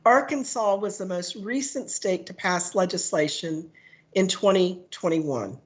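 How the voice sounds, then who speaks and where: Hoarse lady reading her testimony, fairly low voice, steady with lots of ums. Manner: measured, read-out delivery with frequent "um". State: hoarse from a cold. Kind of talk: prepared testimony at a legislative hearing. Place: legislative committee room.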